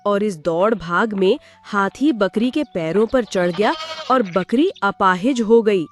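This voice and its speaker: A woman narrating a moral fable for a Hindi cartoon in a plain, steady storytelling way. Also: medium pitch, a little slow, slight background noise.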